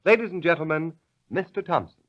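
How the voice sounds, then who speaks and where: Animated vintage male radio announcer. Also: on the high side, a little fast. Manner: formal, emphatic salesmanship. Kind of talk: 1930s radio announcing, scripted. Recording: dull vintage radio broadcast.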